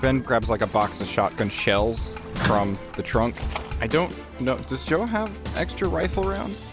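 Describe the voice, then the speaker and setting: A man's voice: mid-range voice, a little slow. A man playing a tabletop game, casually narrating his character's moves and speaking as him. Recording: music behind the voice.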